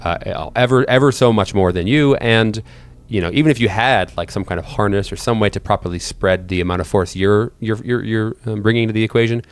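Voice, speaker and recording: Low-voiced man running a tabletop game. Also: clean tone. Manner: halting, repeats words while thinking aloud. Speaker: game master. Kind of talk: improvised narration of a tabletop horror game.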